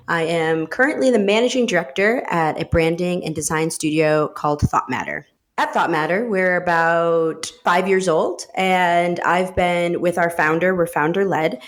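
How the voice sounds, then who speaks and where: A woman, talking reflectively in an interview, with many fillers and restarts. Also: sits low. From upstate New York. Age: 30s. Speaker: guest, managing director of a design studio. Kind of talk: casual interview talk.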